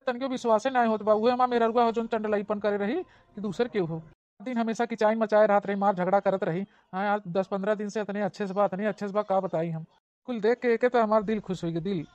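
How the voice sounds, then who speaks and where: A woman's voice: mid-range voice, a little mellow, no room sound, close to an everyday voice. Woman voicing awadhi cartoon husband guy, emphatic, repeats stuff, rattles along, slightly dull audio. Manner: emphatic, repetitive. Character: village husband and son, astonished, questioning. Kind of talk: cartoon comedy dialogue.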